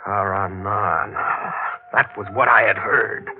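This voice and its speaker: Brooding low-voiced reporter, old radio mystery. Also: male voice, steady tone, slow pace, narrow, tinny audio. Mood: hushed, uneasy. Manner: intense, dramatic. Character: brooding, obsessive newspaper reporter narrating his own mystery. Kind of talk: 1940s radio mystery acting, first-person narration. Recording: band-limited old 1940s radio, music behind.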